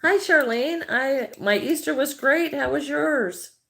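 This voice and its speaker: A woman's voice: high voice, animated, takes it slowly, vocal fry, a bit bright. Chatty Midwestern woman crocheting on livestream. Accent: Midwestern American. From American Midwest. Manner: chatty, greets viewers by name, thinks aloud while working. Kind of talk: casual livestream chat while crocheting.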